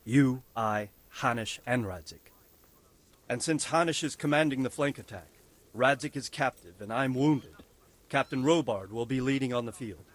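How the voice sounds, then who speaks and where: A man's voice: medium pitch, normal speed, lightly acted. An actor playing a wounded male lord who briefs his men on a battle plan with firm authority. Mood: stern. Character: wounded, stern male lord commanding a siege. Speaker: military commander.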